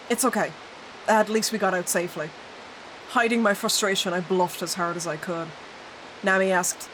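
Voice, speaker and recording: A woman streamer reading a visual novel aloud with giggly, animated commentary. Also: mid-range voice, slight background noise, rough recording. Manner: animated, giggly, reads text with playful voices. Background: faint game music.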